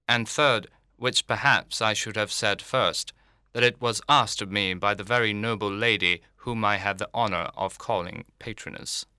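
Man acting pompous clergyman, smug, formal, long-winded, bright forceful tone, slightly low pitch, literary audiobook. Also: normal speed, clean tone, dry recording, studio quality. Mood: self-important. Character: pompous, self-satisfied clergyman proposing marriage. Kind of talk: dramatised literary audiobook reading.

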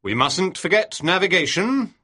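Casting: woman reading a stern male ship captain, commanding and quick to anger, lively pitch. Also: breathy edge, heavily acted. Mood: patronizing. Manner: clipped, commanding, furious outbursts. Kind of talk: audiobook reading.

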